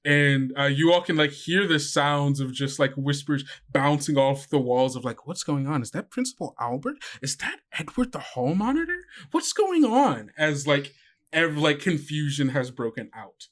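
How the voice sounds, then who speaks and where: A man's voice: a little high, sing-song, normal speed, a bit bright. A young man running a tabletop game as game master, casual with lots of fillers.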